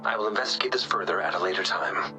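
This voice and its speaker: An actor playing an analytical male researcher in a game cutscene, explaining things calmly. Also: sits low, quick pace, lightly acted. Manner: calm, explanatory. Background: game music.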